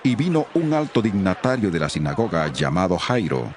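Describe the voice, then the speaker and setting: A man's voice: low voice, big pitch swings, moderate pace, slight background noise. A man narrating scripture from a script as part of a dramatized audiobook.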